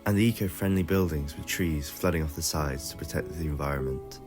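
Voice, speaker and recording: A man's voice: very deep voice, fairly quick, over music. A man reading scripted narration for an adventure audio story.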